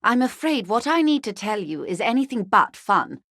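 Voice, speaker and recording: An actress playing a poised, well-bred corporate negotiator in a video game, speaking in an articulate, measured, drily ironic way. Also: female voice, on the high side, sing-song, fairly bright tone, no echo, clean studio sound, exaggerated voice. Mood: polite concern. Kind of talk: scripted video game dialogue.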